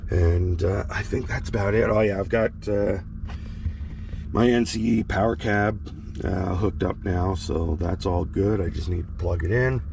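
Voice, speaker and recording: Low gravelly man, a little crackly. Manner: rambling, relaxed, lots of fillers and restarts. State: slightly crackly voice, recovering from illness. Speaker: model railroad vlogger. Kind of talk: casual walkthrough vlog. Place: sleeper bunk of a semi truck cab.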